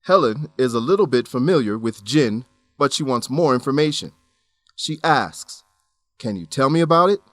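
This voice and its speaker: A man reading scripted educational narration for an English lesson, enunciating clearly in an instructional manner. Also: medium pitch, lively intonation, moderate pace, fairly bright tone, dry recording. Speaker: narrator of an English-teaching radio program.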